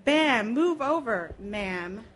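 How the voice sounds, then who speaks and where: A woman giving an expressive live reading with a theatrical, rhythmic delivery. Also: a little high, very slow. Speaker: reader at a public library program.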